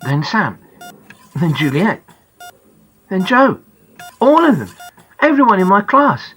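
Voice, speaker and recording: Reader doing an eager young schoolboy, chatty, bright voice, audiobook. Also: mid-range voice, sing-song, slow.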